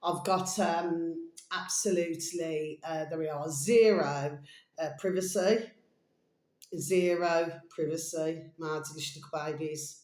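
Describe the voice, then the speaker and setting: A tired woman video blogger chatting casually and ramblingly to her viewers, pausing often and repeating pet endearments. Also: deep voice, steady tone, a little mellow. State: mentally tired, drained. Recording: echoey, roomy sound.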